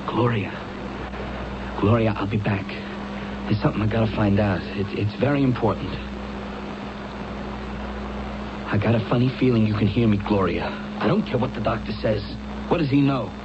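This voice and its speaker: Male actor as anxious young husband, American accent, defensive, halting slangy speech, 1970s radio drama, muffled, clearly noisy recording. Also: lowish voice, close to an everyday voice. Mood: urgent, tender. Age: young adult. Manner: halting, slangy, repeating himself. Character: anxious, insistent young jazz musician husband, defensive and inarticulate.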